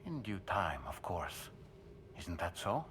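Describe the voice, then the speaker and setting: A man playing a serious, deliberate colleague in a cinematic sci-fi game, speaking formally. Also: sits low, big pitch swings, background noise, heavily acted. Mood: matter-of-fact. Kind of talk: cinematic game cutscene acting.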